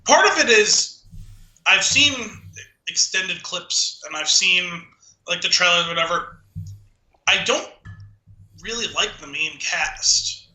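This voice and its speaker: A man with a high-pitched, intensely bright and edgy voice talks casually as a podcast co-host, offering opinions. The sound is roomy, with clear echo around his words. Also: expressive, takes it slowly, long pauses. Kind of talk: casual chat, giving opinions.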